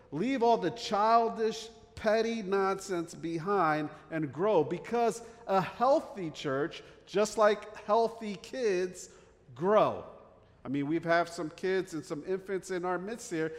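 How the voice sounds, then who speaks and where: A middle-aged pastor with a North Jersey accent, preaching a conversational sermon full of fillers and restarts. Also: male voice, sits high, big-room sound. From northern New Jersey.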